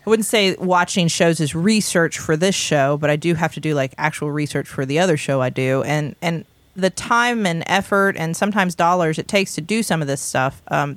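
A woman hosting a podcast, chatting casually through a fundraising pitch with restarts and light laughs. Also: no echo. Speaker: podcast host. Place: small recording studio.

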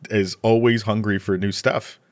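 A man's voice: fairly low pitch, flat delivery, normal speed, no room sound. A man speaking as a podcast guest, chatting casually and animatedly, repeating words for emphasis.

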